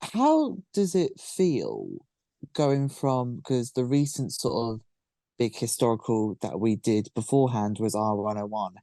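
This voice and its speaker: A woman hosting a casual group interview, asking questions in a rambling, halting way. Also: medium pitch, big pitch swings, warm tone, no echo. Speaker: podcast host.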